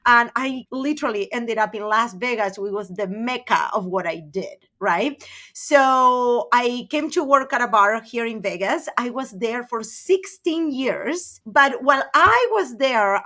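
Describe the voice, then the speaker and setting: A middle-aged woman from Argentina, a podcast guest telling her career story in a chatty, animated way full of fillers. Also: a little high. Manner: animated, chatty, uses many fillers like "like" and "right?". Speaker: podcast guest, personal branding coach. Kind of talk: conversational interview storytelling.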